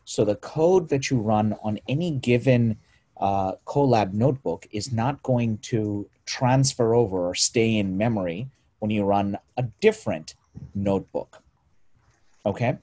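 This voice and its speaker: A man with a lowish, mellow voice talks through a coding tutorial over a video call. His delivery is informal, with quick asides and frequent self-corrections. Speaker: meetup presenter. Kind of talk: live screen-share tutorial.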